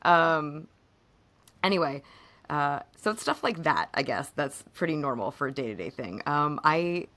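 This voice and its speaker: Hoarse, very low-voiced woman podcaster. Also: animated, measured pace, a bit bright. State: hoarse, voice fading at times. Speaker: podcast co-host. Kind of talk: casual personal conversation.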